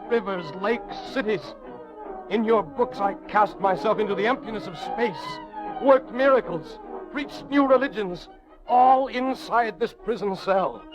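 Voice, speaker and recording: Radio drama prisoner: lightish voice, deliberate poetic declamation, proud and weary, music behind. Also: male voice, high voice, poor audio. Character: long-imprisoned intellectual man, proud, world-weary and rapturous. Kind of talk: old time radio drama acting.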